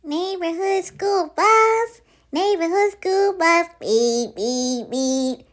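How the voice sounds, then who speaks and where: A woman's voice: very high-pitched, lively intonation, drawn-out words, soft background music. A woman reading aloud as a children's story-time host, with a sing-song manner aimed at small children. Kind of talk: animated read-aloud storytelling for young children.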